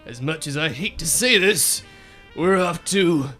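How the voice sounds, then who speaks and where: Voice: medium pitch, animated, measured pace, bright, forceful tone. A young performer playing a pompous, exasperated detective boss in a comic radio serial, wordy and indignant. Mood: resolute. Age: young adult. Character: pompous hard-boiled detective boss, exasperated and self-important. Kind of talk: comic radio serial acting with noir narration. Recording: guitar music behind the voice.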